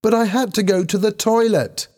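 A man's voice: mid-range voice, sing-song, moderate pace, warm tone, pitched above the performer's own voice. A man voicing an angry, defensive teenage schoolgirl in a story, indignant and exclaiming.

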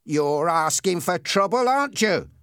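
A man's voice: high voice, lively intonation, unhurried, fairly bright tone, clear, resonant tone, no room sound, studio quality, voice pushed up. A man voicing a suspicious, sneering boy bully who needles and accuses in an audiobook story. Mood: threatening.

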